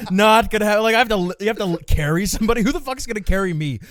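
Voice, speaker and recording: Brief: young male co-host, higher-pitched, crude and teasing, laughs a lot, dry sound. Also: rapid-fire, fairly bright tone, dry recording. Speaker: comedy podcast co-host. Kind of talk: casual comedic chat.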